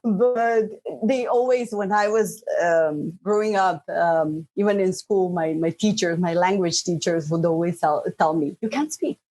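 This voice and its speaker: A middle-aged woman from Slovenia whose first language is Bosnian, telling her story as a podcast guest in a lively, rambling way, full of fillers and laughs. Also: lowish voice, unhurried, few pauses, rough recording. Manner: lively, frequent "like" and "you know" fillers, laughs often. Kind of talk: casual interview talk.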